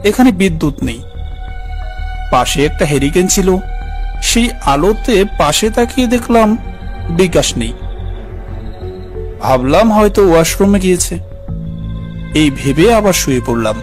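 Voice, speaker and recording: A man's voice: high-pitched, big pitch swings, a little slow, long pauses, faint music behind. A man narrating a scripted horror story in the first person.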